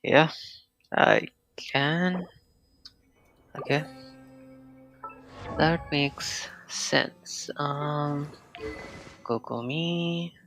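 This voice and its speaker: Male game streamer, slow and halting, gravelly tone, faint game music behind. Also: fairly high pitch, a bit bright, rough recording. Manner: slow, hesitant, murmuring to himself with fillers. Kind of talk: casual live gameplay commentary.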